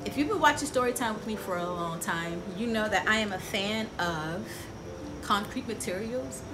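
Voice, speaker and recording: A woman's voice: mid-range voice, rough recording. A middle-aged woman hosting a children's story time, showing and explaining things in simple phrases and often checking in with questions to young viewers. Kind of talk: children's educational show-and-tell, asking viewers questions. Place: outdoors on a porch by trees.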